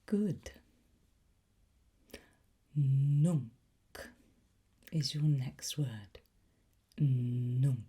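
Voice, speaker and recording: A woman whispering an ASMR roleplay as a sly, softly menacing demonic teacher, in a coaxing, teacherly manner with pauses. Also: rumbling low. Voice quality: breathy, mostly whispered.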